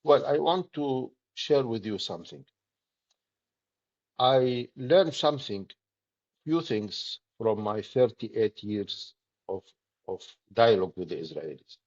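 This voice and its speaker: A middle-aged man with a Palestinian Arabic accent, speaking earnestly and deliberately in short, emphatic phrases as an interview guest. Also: medium pitch, animated, darkish tone, dull audio. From Palestinian territories. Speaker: political activist, interview guest.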